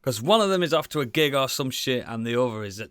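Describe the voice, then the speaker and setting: A man's voice: medium pitch, lively intonation, quick pace, a bit bright, clear, resonant tone, dry recording, clean studio sound. A middle-aged man hosting a podcast, bantering with jokey, sweary introductions. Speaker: podcast host. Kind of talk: casual banter.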